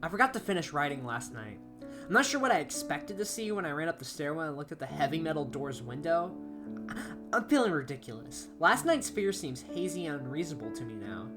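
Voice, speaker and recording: Female amateur creepypasta reader, low voice with lively swings, dramatic first-person delivery, soft guitar music beneath. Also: brisk. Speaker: amateur horror story reader. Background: plucked guitar music.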